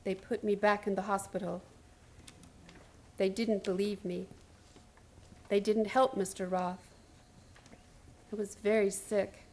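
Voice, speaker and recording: A woman's voice: medium pitch, level tone, slow, pauses often, a little mellow, a little hiss or hum, lightly acted. An actress playing an obsessed, frantic woman hospital patient who tells her strange story in a radio play, intense and theatrical. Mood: haunted, insistent. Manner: intense, haunted, urgent, theatrical. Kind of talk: radio play acting, first-person dramatic narration.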